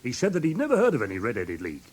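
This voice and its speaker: Radio drama role: indignant English tradesman, husky, swooping intonation, rambling and easily flustered, humble before his betters. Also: mid-range voice, wildly swooping pitch, very fast. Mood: aggrieved. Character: indignant, simple-minded London pawnbroker, wronged and fussy. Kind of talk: classic British radio drama acting.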